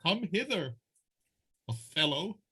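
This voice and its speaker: An actor playing an aggrieved older nobleman, a betrayed father, husky and bitter, in a Shakespearean audio drama. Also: high-pitched, very animated, sharp tone, exaggerated voice. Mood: bitter resignation. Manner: bitter, halting, wounded.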